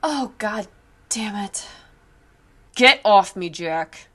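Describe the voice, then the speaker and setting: A woman's voice: mid-range voice, expressive, very slow, bright, forceful tone, husky, heavily acted. A woman playing a bossy, vain female vampire gang leader in a horror audio story, theatrical and exasperated in manner. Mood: angry.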